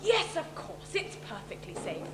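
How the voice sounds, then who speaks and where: A woman in an amateur stage pantomime playing a suave, reassuring character with a measured, melodramatic manner. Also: fairly high pitch, huge pitch swings, noisy background. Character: suave, reassuring farewell-bidder called Peter. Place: theatre stage before an audience. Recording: echoey stage recording, loud music.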